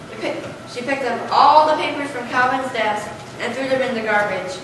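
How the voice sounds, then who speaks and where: Young female story narrator, school stage reading, raised pitch, noisy distant sound. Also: normal speed. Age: young adult or younger, school pupil. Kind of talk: scripted story narration, readers' theater. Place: large echoey room. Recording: echoey noisy hall, distant mic.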